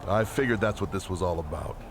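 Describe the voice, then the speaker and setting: An actor playing a cocky, calculating pro wrestler in a video game cutscene, with a sardonic, nonchalant manner. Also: male voice, low-pitched, quick pace, natural voice. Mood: smug. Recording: noticeable outdoor urban noise.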